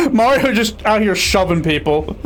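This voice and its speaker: A young man casually commenting on a video game as he plays, chatty and sarcastic. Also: high voice. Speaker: streamer playing a video game.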